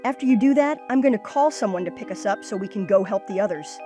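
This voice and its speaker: A woman's voice: medium pitch, expressive, fast, dark tone, faint music behind, lightly acted. A woman voicing a bold, earnest young boy who leads his friends in a comic dub. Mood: determined.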